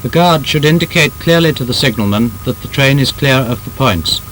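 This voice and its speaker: A man with a British accent reads a scripted 1930s instructional film narration in a formal, emphatic, measured manner. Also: lively intonation, fairly bright tone. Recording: noisy old film soundtrack with rumble.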